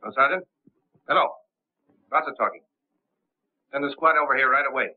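A confident gentleman sleuth, as an actor plays him, brisk and assured with polite 1930s manners. His mid-pitched voice comes through a thin, phone-like old soundtrack. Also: slightly rough, natural voice. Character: confident gentleman amateur detective. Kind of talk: 1930s film acting. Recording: tinny old film soundtrack.

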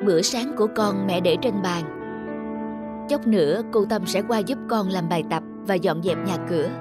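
A woman voicing a busy, caring mother in a cartoon, giving gentle instructions. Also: mid-range voice, moderate pace, loud music behind the voice, natural voice. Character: busy, caring working mother of a young boy. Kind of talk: cartoon voice acting.

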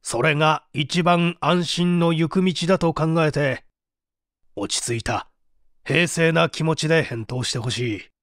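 An actor playing a composed male prosecutor who questions a suspect in a measured, formal and probing way, in a radio drama. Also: a little high, a bit bright, dry recording, clean studio sound. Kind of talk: dramatized mystery reading.

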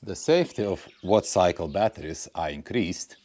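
Low-voiced guy in his 40s chatting to viewers outside, soft music behind. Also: animated, normal speed, no echo. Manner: friendly, addresses viewers as guys, non-native phrasing. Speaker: vlogger testing a battery. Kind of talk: scripted voice-over intro, then casual on-camera chat. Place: outdoors on a stone barn patio. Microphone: clip-on lapel mic.

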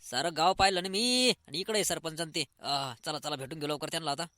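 A man playing an eager, hurried poor villager in a comic cartoon, with a rural Maharashtrian accent and urgent, colloquial speech. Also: a little high, sharp tone, dry recording, clean studio sound. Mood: anxious, hurried. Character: eager, hurried poor villager awaiting his housing grant.

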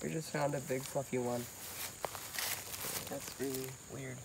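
A male speaker talking shyly and hesitantly outdoors in the woods, with many pauses and ums. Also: medium pitch, little pitch movement. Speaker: vlogger's son. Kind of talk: casual outdoor chat. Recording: outdoor insect noise behind. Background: crickets and insects.

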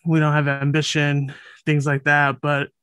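Late-thirties man from Los Angeles, podcast guest, smooth voice sitting a touch high, dry close sound, unhurried and reflective. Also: no room sound. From Los Angeles, USA. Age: late 30s. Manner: relaxed, thoughtful, with many fillers and restarts. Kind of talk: casual conversational interview. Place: home room, over a video call.

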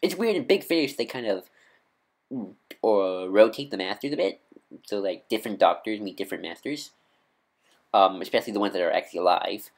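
A man with a nasal voice giving casual, off-the-cuff fan commentary as a vlogger, rambling and halting as he corrects himself. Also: lowish voice, animated. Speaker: YouTube vlogger and podcaster.

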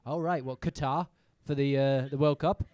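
A man's voice: medium pitch, fairly even intonation, moderate pace, a little mellow, no echo. A young man with a mixed British and American accent doing stand-up crowd work on a comedy club stage, teasing the audience and swearing, speaking into a handheld mic.

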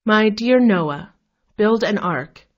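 A woman's voice: medium pitch, animated, slow pace, dull audio. A woman narrator voicing a solemn, loving God giving commands in a children's storybook. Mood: solemn, commanding.

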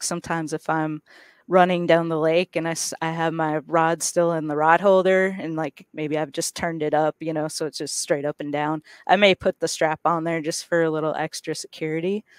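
A woman in her forties hosting a podcast, asking questions in a relaxed, encouraging way through a headset mic. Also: low voice, moderate pace, smooth, no room sound, studio quality. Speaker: podcast host. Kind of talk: casual interview. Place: indoor room.

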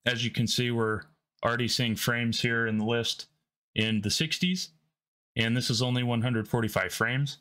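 A man hosting a tech channel, talking through a screen-recorded software demo in a relaxed way, thinking aloud with frequent ums, from his home workstation. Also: mid-range voice, moderate pace.

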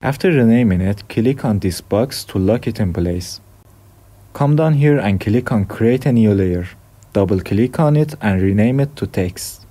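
A young man from Iran with an Iranian accent, hosting a software tutorial with plain step-by-step instructions. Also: on the low side, expressive, measured pace. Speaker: tutorial host. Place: dim room at a desk.

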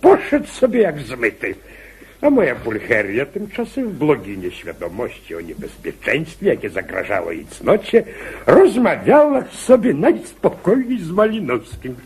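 A man's voice: a little high, huge pitch swings, measured pace, darkish tone, breathy edge, exaggerated voice. A man acting in a radio comedy as a jealous, overprotective husband, stammering, fussy, pompous and self-righteous. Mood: excited, proud.

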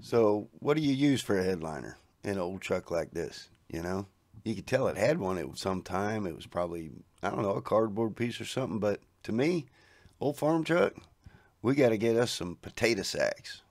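A man's voice: fairly low pitch, lively intonation, moderate pace, a little mellow, no room sound. A middle-aged man, narrating his garage project over photos in a folksy, easygoing way. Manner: folksy, easygoing, chuckles at his own jokes. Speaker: garage video host.

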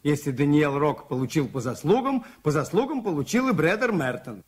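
An actor playing a shrewd, calm priest detective in a 1980s Soviet radio drama, measured and politely insistent. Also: male voice, on the high side, breathy edge. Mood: firm.

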